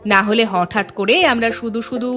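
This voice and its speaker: A woman's voice: a little high, steady tone, moderate pace, pushed and bright. A woman voicing a knowing, middle-aged village mother in a cartoon, chiding and teasing with bossy assurance. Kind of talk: cartoon voice acting. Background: background music.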